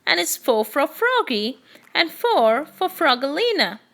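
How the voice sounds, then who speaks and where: Woman as a patient storybook schoolteacher, high-voiced. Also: big pitch swings, measured pace, full character voice. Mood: instructing. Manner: patient, gently instructing. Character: kindly, orderly female schoolteacher.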